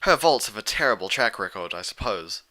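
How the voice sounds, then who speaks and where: A man's voice: on the low side, animated, fairly quick, pushed and bright. A man voicing a bookish, fussy young female unicorn pony from a cartoon tale, explaining things in a hesitant way. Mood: dry, exasperated. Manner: hesitant, filler-laden explaining.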